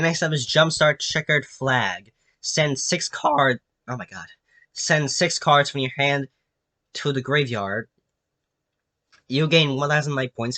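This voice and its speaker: A man's voice: medium pitch, sharp tone, no echo. A man with a nasal voice, sick with a cold, casually rambling through explanations full of fillers and restarts. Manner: filler-heavy, many restarts and "like"s. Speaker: YouTube creator presenting his own card designs.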